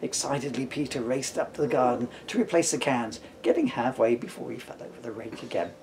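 A man's voice: mid-range voice, fairly quick, vocal fry, a little mellow, a little hiss or hum. A man in his fifties reading a comic storybook aloud in a playful way, with wry asides. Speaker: author reading from own comic book. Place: living room sofa at home. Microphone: camera a short distance away.

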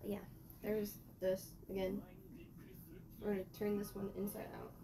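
A young woman rambles casually while sorting laundry, her voice gravelly with fry. She is recorded by a camera mic across a small bedroom, with a clear hiss of noise underneath. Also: medium pitch, monotone, unhurried. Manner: rambling, chatty, talking to herself while doing laundry. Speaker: vlogger. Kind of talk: casual vlog narration. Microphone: camera mic at a distance.

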